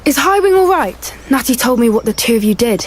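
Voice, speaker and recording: A woman's voice: on the high side, lively intonation, a little fast, slight background noise, lightly acted. An actress playing an earnest, protective teenage schoolgirl in a video game. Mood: earnest, concerned. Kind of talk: scripted game cutscene acting.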